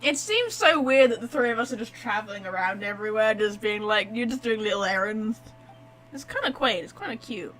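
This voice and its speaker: A woman's voice: medium pitch, lively intonation, fairly quick, fairly bright tone, faint music behind, rough recording. A woman giving chatty, informal live gameplay commentary, thinking aloud as she goes. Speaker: game streamer.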